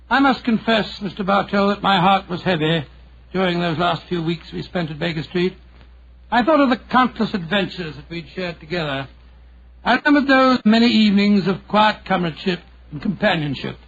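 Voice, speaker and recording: An actor playing Doctor Watson, a genial older British gentleman storyteller, avuncular and a little hesitant, in 1940s radio drama style. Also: high-pitched, heavily acted. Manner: avuncular, hesitant, old-fashioned phrasing. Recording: muffled vintage 1940s radio recording.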